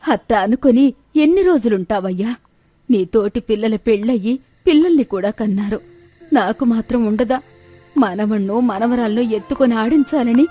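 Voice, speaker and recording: నటి పోషించిన దిగులుపడే పల్లెటూరి తల్లి, కాస్త హెచ్చు స్వరం. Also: female voice, a little high, animated, moderate pace, dark tone, dry recording, soft background music. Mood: lamenting. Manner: plaintive, rustic colloquial speech. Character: worried, lamenting village widow, mother of grown son. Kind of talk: dramatized moral story.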